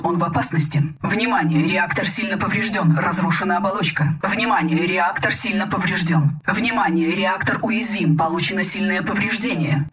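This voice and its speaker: A woman voicing a dutiful female mech titan AI in a game dub, speaking in even, clipped, formal lines. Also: medium pitch, far from the mic, close to an everyday voice.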